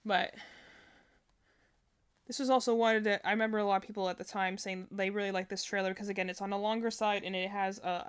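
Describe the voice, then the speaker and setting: A woman's voice: medium pitch, fairly bright tone, smooth. A woman giving casual, gushing live-stream reactions to game trailers. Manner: enthusiastic, gushing.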